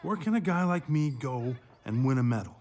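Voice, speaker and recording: Male actor as a big, downtrodden cartoon game villain with a kind heart, blunt and pleading, mid-pitched. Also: animated, normal speed, a little mellow, a little hiss or hum, lightly acted. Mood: earnest, pleading. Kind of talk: animated film voice acting.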